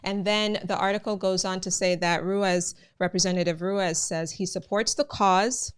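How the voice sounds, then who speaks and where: A woman's voice: mid-range voice, fairly even intonation, moderate pace, clear, resonant tone. A woman with a Jamaican accent, hosting a live immigration news channel and talking casually to her viewers, often calling them guys. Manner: chatty, addresses viewers as guys, many fillers.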